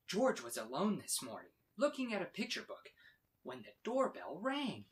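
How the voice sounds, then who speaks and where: A man reading a children's story aloud with expressive, dramatic emphasis. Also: sits high, normal speed. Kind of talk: animated read-aloud narration for children.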